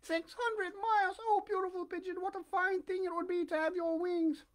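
A woman voicing a childlike wooden puppet boy in a storybook, with a plaintive, excitable, melodramatic manner. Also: very high voice, moderate pace, pitched above the performer's own voice. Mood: curious, eager. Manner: plaintive, melodramatic, breathy exclamations.

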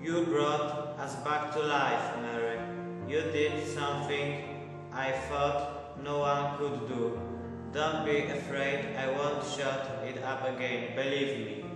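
A man playing a lordly, grieving father softened by wonder in a puppet show, measured and earnest. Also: on the high side, steady tone. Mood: grateful, gentle. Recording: echoey hall, distant mic, background music.

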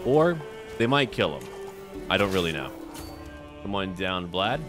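Deep-voiced man doing animated game commentary. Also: a little slow, music in the background. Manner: talks aloud to game characters. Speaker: gaming video host. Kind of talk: casual gameplay commentary.

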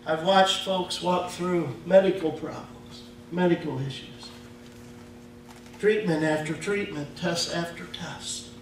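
Slow, emphatic Midwestern pastor in echoey church. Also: male voice, a little high. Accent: Midwestern American. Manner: slow, emphatic preaching with casual grammar. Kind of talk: sermon. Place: church sanctuary. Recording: echoey church from far off, faint hum.

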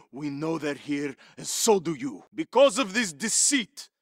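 A menacing military commander in a war game. He speaks very slowly in a high male register, merciless and deliberate. The sound is dry. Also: fairly bright tone, no room sound. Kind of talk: deliberate video game speech.

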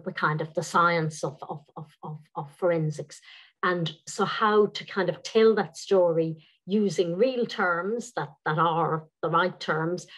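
Irish woman, thoughtful and halting. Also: on the low side, slightly rough. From Ireland. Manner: thoughtful, many restarts and repeated words. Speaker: author guest. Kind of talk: interview over video call. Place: home room with windows. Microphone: video call.

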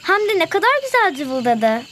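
A woman's voice: very high-pitched, very animated, moderate pace, a bit bright, slight background noise, full character voice. A woman playing an earnest, kind young pupil who explains things in a children's educational cartoon.

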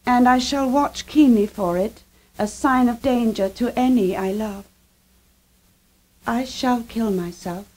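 An actress playing a young Victorian wife under a vampire's curse, earnest and dignified, in a 1970s radio drama. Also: female voice, a little high, takes it slowly, a little mellow, rough recording. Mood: resolute.

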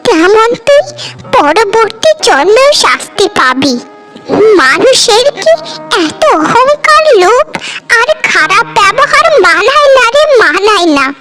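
Cartoon old beggar woman, acted by a woman: preachy, drawn-out, extremely high, very animated pitch. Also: heavily acted. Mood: admonishing. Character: old beggar woman, hungry, pleading, preachy village elder. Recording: music behind the voice.

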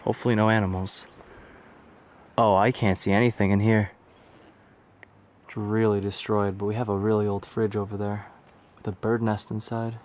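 A man's voice: on the low side, level tone, moderate pace, pauses often, darkish tone. A young adult man casually narrating an exploration to a handheld camera as a vlogger. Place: outdoors on wooded roads and at derelict buildings. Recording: noisy outdoor handheld camera audio.

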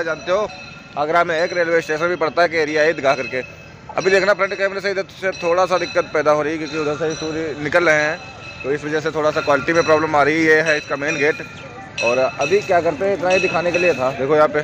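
A hoarse young man in his twenties walks through an outdoor bus station, filming himself on a phone and chatting like a tour guide. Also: on the high side, noisy background. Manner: chatty tour-guide narration, pointing things out. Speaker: travel vlogger. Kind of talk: casual walking vlog. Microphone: phone camera at arm's length. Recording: dull lo-fi phone audio with music behind. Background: music playing and passing buses.

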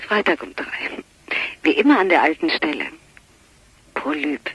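An actress playing a teasing, sceptical, sharp-tongued woman in a German radio crime drama, dry and ironic. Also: medium pitch, sing-song, normal speed, vocal fry, a little hiss or hum, rough recording.

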